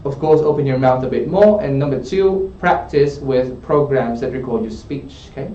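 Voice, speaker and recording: A man's voice: medium pitch, moderate pace, dark tone. A man working as an English tutor, coaching a student in a studio and often checking in with "okay?". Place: studio room. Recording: roomy, distant, dull studio sound.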